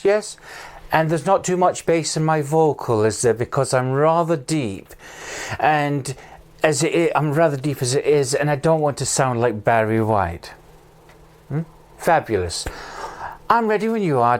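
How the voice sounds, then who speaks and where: A man's voice: fairly high pitch, lively intonation, a little slow. A man playing a flamboyant, fussy theatrical actor in a comedy sketch, camp and calling everyone darling.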